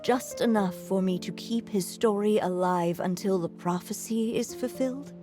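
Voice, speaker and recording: An actress voicing a frail elderly woman author near the end of her life, speaking slowly and calmly, sometimes soft and breathy, with coughs. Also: medium pitch, music in the background, close to an everyday voice. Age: older. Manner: slow, calm, resolved. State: coughing, weakened. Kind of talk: game dialogue.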